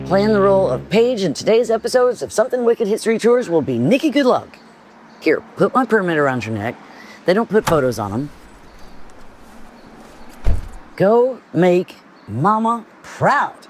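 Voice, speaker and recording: A woman's voice: mid-range voice, very animated, moderate pace, faint music behind, lightly acted. An actress in an audio drama playing a chatty, encouraging female friend who works as a tour guide.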